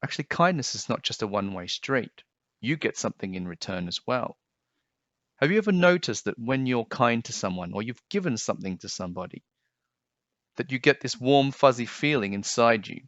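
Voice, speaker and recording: A man reading a picture book aloud and then talking to children about it, explaining things gently and simply. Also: mid-range voice, animated, fairly quick, dry recording, dull audio.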